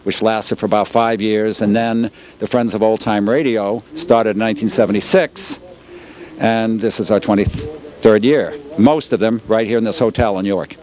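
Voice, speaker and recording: A middle-aged man giving casual interview answers in a halting, matter-of-fact way. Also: on the low side, fairly even intonation, a little mellow, poor audio. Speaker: newsletter publisher and convention organizer.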